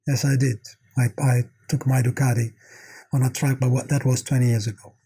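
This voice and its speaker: A man's voice: medium pitch, level tone, a little fast, dark tone, husky. A middle-aged man from Italy with an Italian accent, answering interview questions conversationally as an executive guest.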